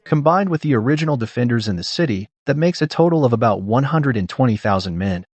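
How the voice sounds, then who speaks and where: A man's voice: medium pitch, animated, fast, a little mellow, no room sound, close to an everyday voice. A man playing a plain, earnest soldier in a dubbed comic drama. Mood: reporting. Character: plain, earnest male soldier reporting and pleading.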